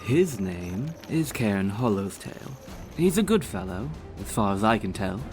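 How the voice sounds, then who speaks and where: A man's voice: fairly low pitch, sing-song, measured pace, darkish tone, faint music behind, voice pushed up. A man voicing a loyal, good-humored male warrior speaking earnestly to an old friend.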